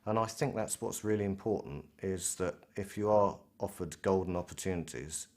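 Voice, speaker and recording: A British man in middle age with a low-pitched voice gives a reflective career interview. He is articulate and measured, sometimes hesitating mid-phrase as he gathers his thoughts. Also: a little mellow. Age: middle-aged. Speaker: senior air traffic control manager.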